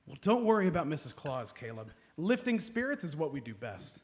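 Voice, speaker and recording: A man's voice: fairly high pitch, sing-song, a little fast. A man playing an eager, bungling upbeat amateur detective in a live comedy radio play. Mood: confident, helpful. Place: live on stage at a comedy club. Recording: noisy live comedy stage recording.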